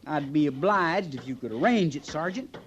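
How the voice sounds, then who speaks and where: A high, swooping, whiny trooper played by a man with a folksy American drawl. He is a work-shy complainer from a vintage radio Western, heard through light noise. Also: animated, moderate pace, lightly acted. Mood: complaining. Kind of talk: old-time radio Western acting.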